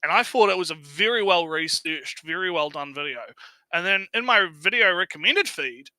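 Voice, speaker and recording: Guy co-hosting a stream, really bright forceful voice, kinda high, rambles and repeats himself. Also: lively intonation, moderate pace, no echo, rough recording. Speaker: livestream co-host. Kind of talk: casual livestream banter.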